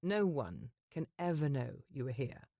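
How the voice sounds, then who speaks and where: A woman voicing a blunt, arrogant young gentleman in a lowered, cool and clipped voice for an audiobook. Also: sing-song, measured pace, no room sound, exaggerated voice. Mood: dry.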